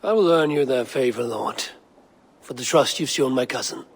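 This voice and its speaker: An actor playing a calm, loyal Norse warrior in a video game, speaking in a resolute, respectful way. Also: male voice, mid-range voice, moderate pace, breathy edge, close to an everyday voice.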